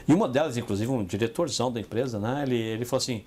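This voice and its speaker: A Brazilian man co-hosting a casual business podcast, telling stories in an animated way and acting out the voices of the people he quotes. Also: medium pitch, racing. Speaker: podcast co-host.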